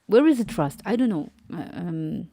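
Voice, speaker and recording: A woman's voice: on the low side, very animated, normal speed, dark tone, no echo. Rambling thirties woman, dry close mic. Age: 30s. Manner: rambling, laughs often, restarts words. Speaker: live stream host. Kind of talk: casual live-stream chat.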